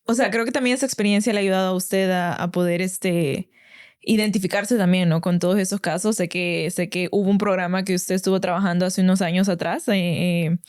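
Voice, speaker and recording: A woman's voice: lowish voice, steady tone, fairly quick, a little mellow. Salvadoran woman in her 20s hosting a chill podcast chat, polite and friendly, lots of "este". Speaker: podcast host. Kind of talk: casual interview chat.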